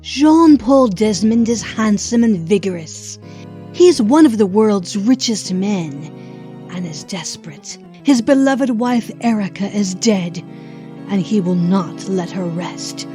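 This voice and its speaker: A woman reading scripted, dramatic promotional narration in an ominous, measured manner. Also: medium pitch, animated, dark tone, faint music behind. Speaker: trailer narrator.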